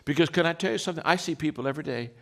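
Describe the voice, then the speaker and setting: A man with a deep voice giving a motivational talk, telling stories with many restarts and acted-out quotes. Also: racing, vocal fry, fairly bright tone. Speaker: leadership speaker.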